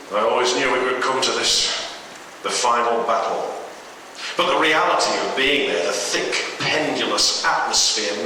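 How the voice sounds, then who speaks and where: A man's voice: medium pitch, bright, forceful tone. A man reading a horror story aloud dramatically as a radio host, in an echoey, noisy recording at a distance from the microphone.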